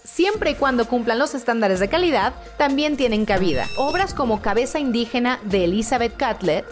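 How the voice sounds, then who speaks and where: A woman reading a scripted radio segment in an upbeat, slangy style. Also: on the high side. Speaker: segment narrator.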